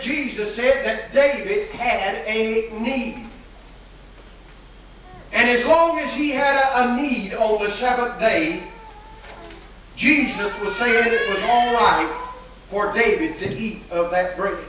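A man's voice: high-pitched, bright, forceful tone. An older man preaching a folksy, emphatic sermon from a church pulpit, with repeated phrases and filler uhs, recorded on 1980s video. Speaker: pastor. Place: large church sanctuary at a pulpit. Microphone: pulpit microphone, far camera. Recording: echoey church hall from far off, hissy 1980s video.

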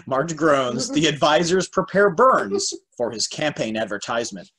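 A man's voice: on the high side, animated, normal speed, bright, forceful tone, husky. A man reading stage directions as the narrator of an amateur script table read. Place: indoors on a home video call.

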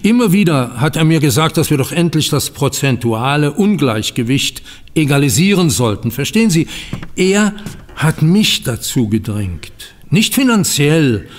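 An actor playing a bitter, dignified older bank founder in a German audio drama, speaking formally with biting sarcasm. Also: male voice, a little high, big pitch swings, normal speed, in a large hall. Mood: regretful, bitter.

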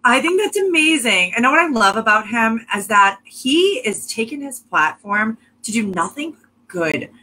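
A woman's voice: a little high, sing-song, moderate pace, bright, forceful tone, poor audio. A young woman from Massachusetts giving casual, effusive commentary as a co-host on a video call. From Massachusetts, United States. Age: young adult. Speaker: show co-host. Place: small home room, video call.

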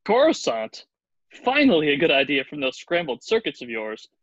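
A man's voice: on the high side, wildly swooping pitch, normal speed, no room sound. A man voicing a fussy, prim protocol droid with a prissy, precise manner. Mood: prim, pleased. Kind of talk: fan table read of a film script. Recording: dull lo-fi video call.

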